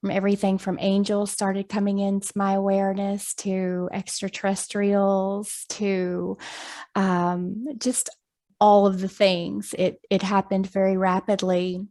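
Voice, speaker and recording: A friendly woman tells her story over a video call. She talks at a middle pitch in a chatty way, pausing with ums, and laughs easily. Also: mellow. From United States. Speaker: guest, hypnosis practitioner.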